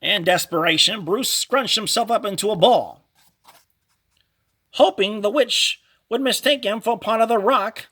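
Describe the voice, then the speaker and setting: A man narrating a children's storybook read-along in a dramatic, expressive, animated way. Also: sits high, measured pace.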